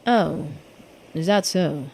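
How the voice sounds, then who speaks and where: A woman's voice: lowish voice, slow pace, gravelly, darkish tone, slight background noise, voice pushed down. A woman voicing an eager, genteel investigator in a video game, with florid, exclamatory speech. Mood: eager, delighted. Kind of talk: game character dialogue read aloud. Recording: music behind the voice.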